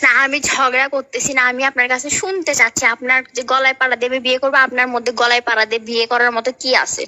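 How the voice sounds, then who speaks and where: A woman's voice: high-pitched, flat delivery, racing, sharp tone. A young Bangladeshi woman arguing insistently and pleadingly in a private phone call, heard through a muffled call recording. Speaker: caller.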